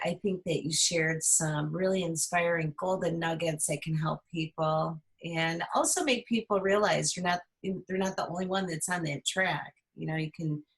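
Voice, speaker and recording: A woman's voice: on the low side, a bit bright. A woman hosting a casual interview over a video call. She sounds encouraging and laughs readily. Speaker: host.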